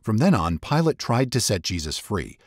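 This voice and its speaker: A man narrating scripture dramatically, in a solemn and deliberate storytelling manner. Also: lowish voice, very animated, a little mellow, breathy edge, no echo, clean studio sound.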